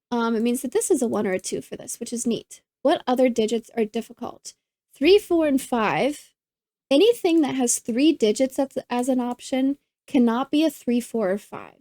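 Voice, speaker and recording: A slightly hoarse woman solves a puzzle out loud, talking herself through each step and perking up at every neat trick she finds. Her voice sits a bit high but sounds mellow and soft, and the recording is close and dry with no room sound. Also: no echo. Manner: thinks aloud, easily delighted. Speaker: puzzle channel host. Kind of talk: casual think-aloud puzzle solving.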